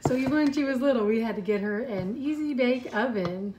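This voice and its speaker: A woman's voice: on the high side, a little fast, a crowd in the background. A woman in her thirties, a mom hosting a casual family cooking video, patiently walking a child through each step with encouragement, recorded on a counter camera in a home kitchen. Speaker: mom and channel host. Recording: echoey kitchen with clattering dishes.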